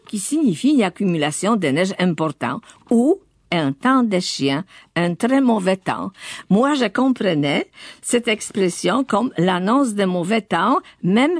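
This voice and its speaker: A woman's voice: mid-range voice, dry recording, clean studio sound. Older woman, careful tinged French. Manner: measured, careful, occasional hesitations. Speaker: radio studio guest. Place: studio.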